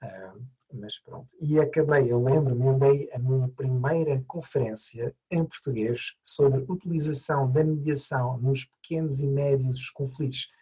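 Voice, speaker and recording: A man's voice: medium pitch, moderate pace, dark tone, breathy edge, low-quality recording. A man who learned Portuguese as an adult, by birth, answering interview questions thoughtfully with many hesitations and restarts. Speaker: mediator, interview guest.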